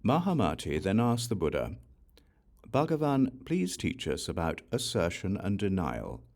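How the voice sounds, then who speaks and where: Male audiobook narrator for sacred text: deep register, highly varied intonation, deliberate enunciation. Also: wildly swooping pitch, gravelly, a little mellow. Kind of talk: scripted reading of Buddhist scripture.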